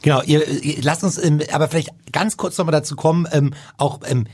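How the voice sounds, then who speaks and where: A man's voice: a little high, fairly quick, a little mellow, husky. A man hosting the conversation, asking his guests friendly questions. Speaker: host. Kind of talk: interview conversation.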